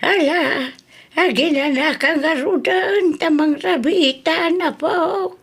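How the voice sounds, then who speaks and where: A woman playing an elderly, doting, worried Ilocano grandmother in a radio drama, with an Ilocano accent and creaky voice. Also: extremely high pitch, slow pace, exaggerated voice. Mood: fretful, concerned.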